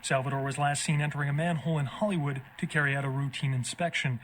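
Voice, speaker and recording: An actor playing a sober local newscaster, reading scripted bulletins in a formal, measured way. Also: male voice, a little high, fairly even intonation, a bit bright, slight background noise, close to an everyday voice. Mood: grave, formal.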